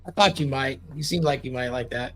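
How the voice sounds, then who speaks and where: A man chatting as a guest on a stream, chummy and quick with remarks. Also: medium pitch, a bit bright, soft background music. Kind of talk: casual chat.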